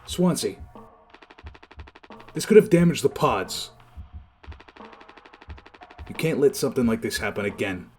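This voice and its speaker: An actor playing an affable, responsible spaceship captain in a game dub, with a casual, reassuring manner. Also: male voice, mid-range voice, very animated, unhurried, pauses often, a little mellow, faint music behind. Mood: firm. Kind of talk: game fandub voice acting.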